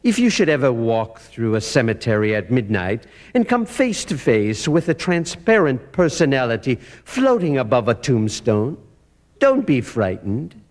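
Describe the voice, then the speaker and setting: A man's voice: mid-range voice, big pitch swings, measured pace. An older man playing a gleefully macabre horror host in old-time radio style, creepy and mock-polite. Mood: ghoulish, gleeful. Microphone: stand microphone, close.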